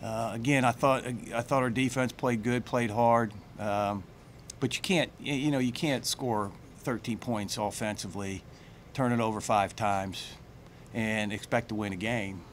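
A middle-aged man, a head football coach, answering questions at a postgame press conference in plain, halting speech full of fillers and restarts, into a table mic. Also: on the low side, steady tone, a little hiss or hum. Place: press room with branded backdrop. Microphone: table mic on a stand.

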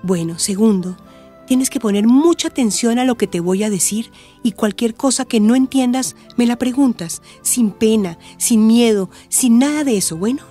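Actress as a mellow, higher-pitched woman counsellor. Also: moderate pace, soft background music, natural voice. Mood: gentle. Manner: reassuring, explanatory, gentle. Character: calm, supportive woman counsellor advising a family. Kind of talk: radio drama acting.

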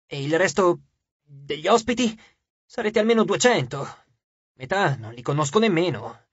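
A man's voice: fairly high pitch, expressive, moderate pace, husky, no echo, highs cut off. A man voicing a wary young demigod hiding in disguise, probing and hesitant.

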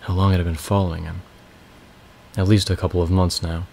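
A young man with a raspy voice, reading a fantasy novel aloud as a home audiobook from his bedroom. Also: deep voice, a little hiss or hum. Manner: slow, casual intro then steady reading. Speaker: amateur audiobook reader. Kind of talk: audiobook narration.

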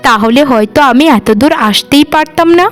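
An earnest, kind young doctor from a village cartoon, played by a woman. She speaks gently in a high voice, with music behind her. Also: a little fast, close to an everyday voice. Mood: gentle, forgiving. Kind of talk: Bengali cartoon voice acting.

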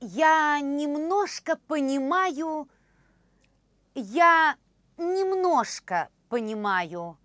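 A woman in her thirties demonstrating phrases for a language course, repeating each one slowly and carefully in a studio. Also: high voice, expressive, a bit bright, no room sound. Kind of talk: slow, clear phrase demonstration. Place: studio with orange backdrop.

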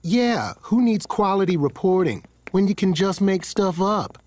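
A man's voice: high voice, lively intonation, measured pace, a little mellow. A man playing a sensible, exasperated young member of a hero team in a video game. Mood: wry. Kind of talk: video game cutscene acting.

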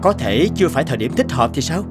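A man playing an earnest, patient young man in dubbed animated dialogue. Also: medium pitch, fast talker, lightly acted. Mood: casual, upbeat. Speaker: voice actor in an animated moral tale. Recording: music behind the voice.

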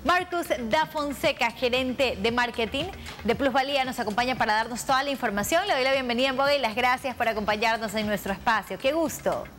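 A woman's voice: on the high side, brisk, a bit bright. A young woman presents a television show. Her delivery is polished and made for broadcast, with music playing underneath. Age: young adult. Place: television studio. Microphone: lapel.

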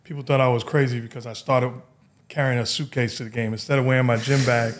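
A middle-aged man with a New York accent, hosting a casual podcast chat and telling stories in a relaxed, reflective way from a high-rise studio on a boom mic. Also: fast talker. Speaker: podcast host. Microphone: studio mic on boom arm.

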